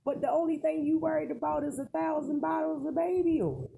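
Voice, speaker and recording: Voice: high voice, fairly quick, warm tone. Emphatic commentator on a noisy recording. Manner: emphatic, colloquial, rhetorical questions. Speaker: online celebrity news commentator. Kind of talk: casual rambling opinion commentary. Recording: clearly noisy recording with clicks.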